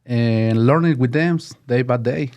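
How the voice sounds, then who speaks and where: A man in his fifties with a Mexican accent, talking in a mellow, warm voice. English is his second language, so he speaks haltingly and searches for words. His pitch sits in a middle range. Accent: Mexican Spanish. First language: Spanish. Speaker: guest. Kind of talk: casual interview talk.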